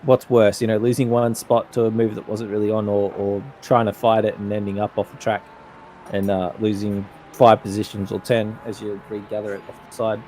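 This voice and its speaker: A man's voice: on the low side, level tone, fairly quick, darkish tone, slight background noise, poor audio. A man with an Australian accent giving chatty, rambling colour commentary on a sim race. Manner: chatty, rambling analysis with laughs. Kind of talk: sim racing color commentary.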